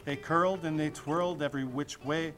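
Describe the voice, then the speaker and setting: A man's voice: a little high, fairly even intonation, fairly quick, fairly bright tone. A man reading a rhyming children's story aloud, with music playing behind him. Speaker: storybook reader.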